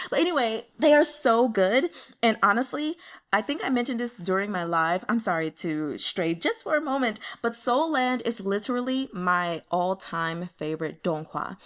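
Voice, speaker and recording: A woman giving casual, chatty commentary as a host, with an animated, gushing, giggly and expressive manner. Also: mid-range voice. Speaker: audiobook reader and host.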